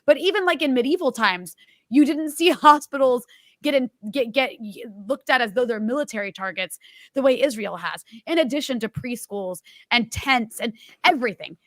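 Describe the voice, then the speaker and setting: A woman's voice: on the high side, animated, normal speed, a bit bright, dry recording. A woman in her thirties hosting an interview, talking in an emphatic, impassioned way. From United States. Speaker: host.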